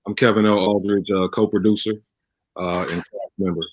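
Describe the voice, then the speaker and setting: A man's voice: fairly low pitch, flat delivery, a little fast, slight background noise, poor audio. A man chatting casually as an interview guest. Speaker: guest, co-producer and cast member.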